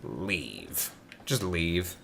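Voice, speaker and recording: A man's voice: sits low, sing-song, drawn-out words, vocal fry, fairly bright tone, slight background noise. A man co-hosting a let's play with casual, playful, goofy commentary. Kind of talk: casual joking game commentary.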